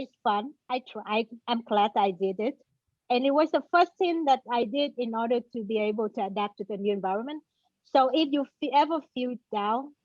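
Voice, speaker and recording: A woman whose first language is Vietnamese, moderating a panel on a video call, halting and searching for words. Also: fairly high pitch, no echo. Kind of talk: casual panel chat. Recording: dull, dry video-call audio.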